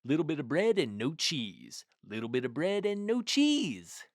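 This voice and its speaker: A man's voice: a little high, sing-song, a little slow, no echo, studio quality. A man voicing a little songbird in a storybook, chirping a singsong refrain. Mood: chirpy singsong. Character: little songbird chirping a repeated taunting song.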